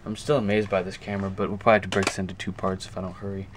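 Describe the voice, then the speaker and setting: A man with a low, monotone voice talks casually through a show-and-tell. He is halting and unscripted, thinking aloud as he goes. There is noticeable noise behind him on the amateur camera recording. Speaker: video creator showing a music collection. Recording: clear background noise, amateur camera audio.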